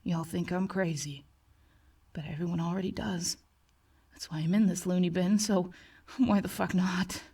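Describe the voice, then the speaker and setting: A woman's voice: on the low side, fairly even intonation, moderate pace, pauses often, mellow, husky, pitched below the performer's own voice. Woman acting a tormented young man, distant-sounding. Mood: resigned, fearful. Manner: tight, bitter, swearing, struggling for composure. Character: agitated young male psychiatric patient, tormented and afraid. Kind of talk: acted horror story dialogue.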